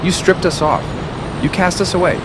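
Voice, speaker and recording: A hulking, bitter symbiote monster acted out by a man speaks with accusing menace. The delivery is ominous and dramatic, made for a dark audio bedtime story. Loud rain and city ambience surround the voice. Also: sits high, fairly even intonation, moderate pace, very noisy, pitched above the performer's own voice, close to an everyday voice. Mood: accusing, wounded.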